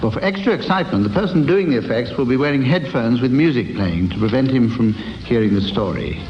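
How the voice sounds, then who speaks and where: Male panel show host, deadpan and measured, dull roomy radio sound. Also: mid-range voice. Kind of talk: dry comedy panel show hosting. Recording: dull roomy old radio broadcast, faint noise.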